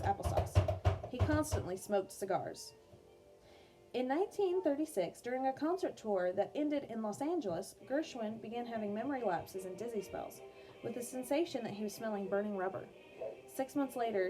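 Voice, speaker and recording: A woman reading an educational biography aloud for children as a music teacher, in a clear, measured storytelling manner. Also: a little high, low-quality recording. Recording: music playing behind the voice. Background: background music.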